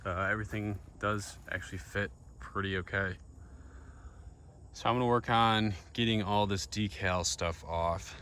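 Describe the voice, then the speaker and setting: Low-voiced American man, noisy DIY vlog. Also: bright, forceful tone. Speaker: vlogger showing DIY van upgrades. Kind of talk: casual DIY walkthrough to camera. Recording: noisy handheld recording with vehicle ambience.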